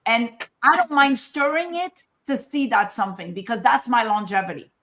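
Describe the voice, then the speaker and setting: A woman's voice: on the high side, normal speed, sharp tone. A woman from Morocco speaking as a scientist in an informal talk, emphatic and conversational, often asking rhetorical questions. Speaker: scientist giving a conference talk.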